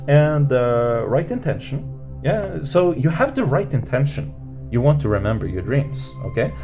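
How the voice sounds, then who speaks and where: A man teaching meditation off the cuff, rambling with many fillers and restarts and lifting his pitch at the end of questions. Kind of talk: unscripted teaching talk. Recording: music playing under voice. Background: guitar music.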